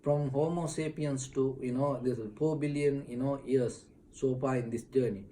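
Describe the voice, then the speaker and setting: A man, a Buddhist monk being interviewed in a temple, explaining slowly with many fillers and restarts. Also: medium pitch, fairly even intonation, dark tone, slight background noise, low-quality recording. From Sri Lanka.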